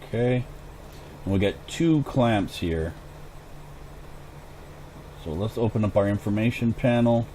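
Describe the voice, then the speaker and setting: A man casually commenting on his own farming game as host, thinking aloud as he plays, his voice hoarse and going. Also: on the low side, unhurried, long pauses, warm tone, slight background noise. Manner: chatty, thinks aloud while playing. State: hoarse, clearing throat. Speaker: gaming channel host.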